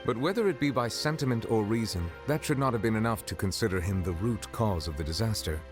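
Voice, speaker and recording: An ancient dragon sovereign in human form, played by a man speaks in a low, grave voice. He explains things in formal, measured sentences with solemn calm. Clear music runs under his lines. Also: natural voice. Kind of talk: game character dialogue. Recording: game audio with music behind.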